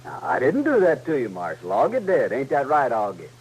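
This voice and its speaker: An actor with a rustic American accent playing a sadistic, mock-polite Old West outlaw leader in a radio western. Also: male voice, medium pitch, wildly swooping pitch, a little fast, darkish tone, slightly rough, exaggerated voice. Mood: mock-polite, menacing. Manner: folksy, sneering, mock-courteous. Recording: noisy vintage radio recording with hum.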